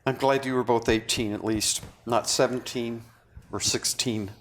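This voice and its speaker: An actor at a table read playing a remorseful, philosophizing man, with a halting, confessional and earnest manner. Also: medium pitch, fairly even intonation, fairly bright tone, natural voice. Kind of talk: read-through of a stage drama script. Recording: clearly noisy room recording.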